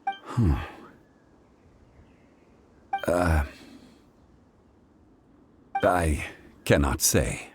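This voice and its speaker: A man playing an ancient god in a video game, calm, formal and weary, speaking in a grave, deliberate way. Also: background noise, exaggerated voice. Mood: apologetic, firm. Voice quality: deep, measured voice. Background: game music.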